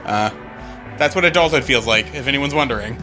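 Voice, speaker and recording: A man's voice: mid-range voice, brisk. Man around 30, let's play co-host, chatty and self-deprecating, music underneath. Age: 30s. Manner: chatty, self-mocking, laughs often. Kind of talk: casual gameplay chat.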